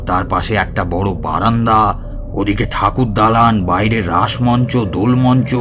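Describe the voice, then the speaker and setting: An actor playing a boastful, chatty village tantric healer who tells ghost tales in a Bengali radio drama, with a Bengali accent. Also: male voice, lowish voice, natural voice. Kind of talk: Bengali audio drama acting.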